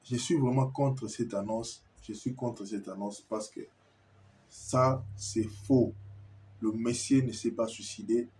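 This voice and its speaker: A man's voice: medium pitch, steady tone, slow pace, dark tone, a little hiss or hum. A man with a Congolese French accent giving an impassioned, insistent monologue to viewers, repeating himself and stammering as he searches for words. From Kinshasa, Congo. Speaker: commentator addressing viewers.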